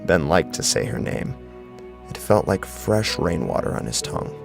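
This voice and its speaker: A man reads scripted horror fiction as its dramatic narrator. His voice is deep and creaky, with lively swings of intonation. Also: normal speed, music in the background.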